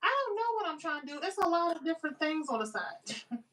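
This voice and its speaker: A woman's voice: very high voice, big pitch swings, brisk, fairly bright tone. A woman joining a casual livestream as a guest, chatty and unsure. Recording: voice from far off the mic.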